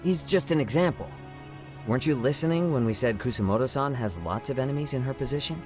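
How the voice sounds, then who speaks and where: A man's voice: medium pitch, expressive, fast, a little mellow, faint music behind, close to an everyday voice. An actor playing a casual, blunt young man, an irreverent sidekick in a video game drama.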